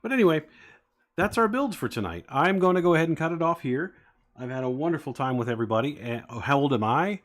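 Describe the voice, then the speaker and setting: A man's voice: on the high side, lively intonation, fast talker. A man in his forties chatting casually with live-stream viewers, rambling with many fillers as he talks. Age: 40s. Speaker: streamer building toy sets.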